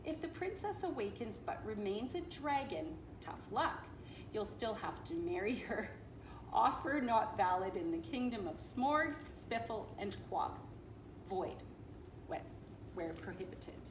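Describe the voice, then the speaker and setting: Animated woman reading a story, roomy and noisy. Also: medium pitch, measured pace. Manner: expressive, dramatic, playful. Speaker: storyteller reading a picture book aloud. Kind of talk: animated children's read-aloud with asides. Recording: roomy echo with clear background noise.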